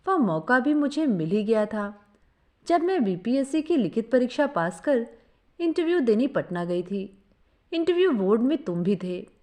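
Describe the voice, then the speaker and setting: A woman narrating a scripted first-person story in an expressive, sentimental storytelling manner. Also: on the high side.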